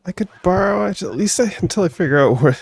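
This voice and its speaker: A man's voice: high voice, lively intonation, fairly quick, vocal fry, breathy edge, no room sound, clean studio sound, voice pushed up, full character voice. A man voicing a timid, flustered woman in an amateur sci-fi radio play.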